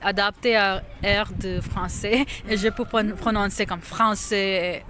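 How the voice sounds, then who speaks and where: A woman's voice: mid-range voice, steady tone, crowd noise. Hesitant young woman. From Pakistan. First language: Urdu. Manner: hesitant, halting, searches for words with many restarts. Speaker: interview guest. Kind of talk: casual interview. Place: outdoors on a city street.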